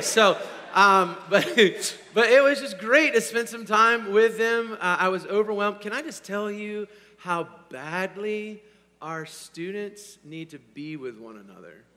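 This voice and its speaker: Hoarse male pastor, bright and fairly high, energetic, swings from loud to hushed, long hall reverb. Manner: energetic, swinging from loud to quiet, repeats "all right?". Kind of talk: sermon. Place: church sanctuary.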